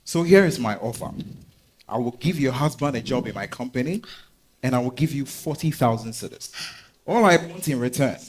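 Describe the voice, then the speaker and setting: A man playing a wealthy, smooth, self-assured businessman in a staged reading, speaking in a deliberate, courteous, direct way. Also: medium pitch, expressive, a little hiss or hum, lightly acted. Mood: calculating, earnest.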